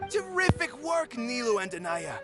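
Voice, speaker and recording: Friendly encouraging theater man in a game, highish lively voice, loud music. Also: moderate pace. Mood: approving. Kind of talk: video game voice acting.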